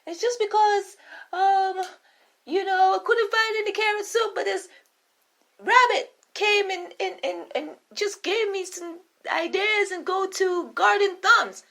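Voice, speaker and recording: A woman's voice: very high-pitched, unhurried, pushed and bright, voice pushed up, full character voice. A woman voicing a nervous male royal cook who stammers and hesitates in a storybook tale. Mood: flustered.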